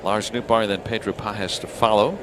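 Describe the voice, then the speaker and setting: Guy doing radio baseball play by play, short unhurried calls, lots of outdoor stadium noise behind him. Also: lowish voice, steady tone, fairly bright tone. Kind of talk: live radio sports commentary. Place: baseball ballpark broadcast booth. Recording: clear crowd and ambient noise. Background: outdoor stadium ambience.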